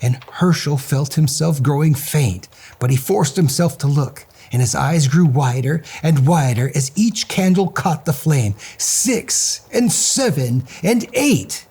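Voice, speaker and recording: A man's voice: a little high, animated, a little slow, hardly any pauses, darkish tone, husky. A man reading a children's story aloud as host, in a theatrical, suspenseful way.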